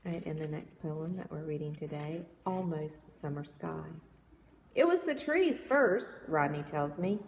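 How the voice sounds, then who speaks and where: Woman, lowish voice, expressive read-aloud of verse, reverberant room, faint background noise. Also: unhurried. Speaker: reader, reading poems aloud.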